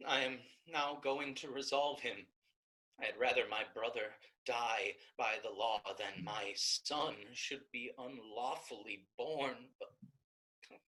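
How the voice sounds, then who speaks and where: A woman's voice: very deep voice, pushed and bright, heavily acted, low-quality recording. A woman playing a fierce, virtuous young novice sister in a staged Shakespeare reading, emphatic and declamatory. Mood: earnest, resolute.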